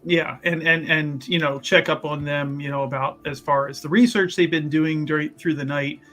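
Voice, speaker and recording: A man describing his character in a tabletop roleplaying game, his voice bright and slightly raised in pitch. He rambles and restarts often, stacking fillers as he thinks aloud, with faint music playing underneath. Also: steady tone, moderate pace. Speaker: player. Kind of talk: actual-play tabletop roleplay.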